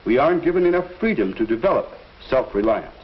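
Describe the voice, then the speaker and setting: An actor playing a patient male teacher in a 1950s educational film, speaking in a measured, instructive way on an old soundtrack. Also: mid-range voice, sing-song, dull audio, close to an everyday voice. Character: patient adult male school teacher counseling students.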